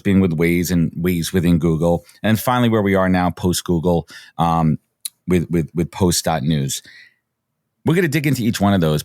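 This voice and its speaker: A man's voice: sits low, a little mellow, no echo, clean studio sound. A man hosting a business podcast, enthusiastic, often restarting and repeating words. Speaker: podcast host. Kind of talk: casual interview chat.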